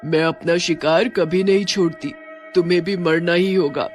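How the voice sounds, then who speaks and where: A woman's voice: on the low side, steady tone, normal speed, soft background music, close to an everyday voice. A woman voicing a menacing, gloating witch who sells almonds and hunts people in a cartoon horror story.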